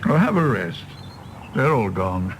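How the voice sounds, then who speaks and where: An actor playing a gruff, weary doctor in a radio drama, gravelly and a little hesitant. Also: male voice, on the low side, sing-song, a little slow, a little hiss or hum. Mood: soothing. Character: gruff, weary doctor minding an old patient.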